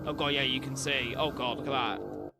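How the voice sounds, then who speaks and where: A man's voice: mid-range voice, moderate pace, creaky, music in the background. A British man doing informal live reaction commentary as a streamer, reading captions aloud and adding chatty asides. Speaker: streamer reacting to horror videos.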